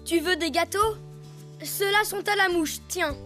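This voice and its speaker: Very high-pitched earnest little boy turtle. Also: measured pace, bright, forceful tone, soft background music, heavily acted. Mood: friendly. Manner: earnest, childlike. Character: young boy turtle, eager, boastful, good-hearted. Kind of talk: French-dubbed children's cartoon acting.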